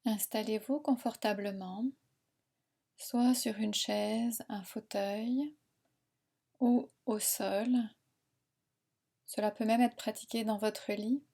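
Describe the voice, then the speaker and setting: A woman guiding a meditation in a soothing, unhurried, instructing manner with long pauses. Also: medium pitch, warm tone. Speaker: relaxation and yoga nidra guide. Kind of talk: guided meditation narration.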